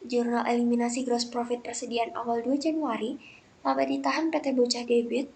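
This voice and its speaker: A woman's voice: a little high, flat delivery, fairly quick, gravelly, a little mellow. A young woman presenting a scripted lesson step by step in a methodical, recited way, like a student presenter. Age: young adult. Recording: noticeable background noise.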